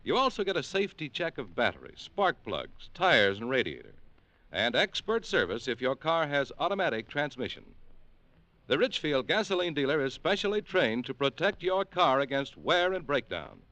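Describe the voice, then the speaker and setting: A man announcing a 1940s radio program, reading sponsor messages and credits in a crisp, emphatic, formal manner. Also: medium pitch, very animated, moderate pace, sharp tone, no echo, highs cut off.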